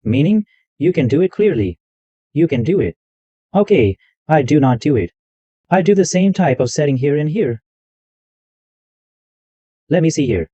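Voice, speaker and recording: A fairly high-pitched man with a lively, up-and-down delivery walks through software setup one click at a time. His tone is mellow, and the recording sounds dull and lo-fi, with a dry, close sound. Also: moderate pace, pauses often, breathy edge, no room sound. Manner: narrates each click step by step, loose wording. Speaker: tutorial host. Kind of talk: screen-recorded software walkthrough.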